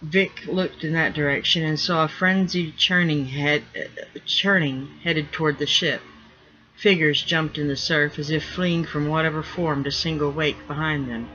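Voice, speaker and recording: Guy narrating a novel, fairly high bright voice, kinda dull recording. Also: fairly even intonation, a little slow. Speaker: author reading own novel. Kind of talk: scripted narration, fiction reading.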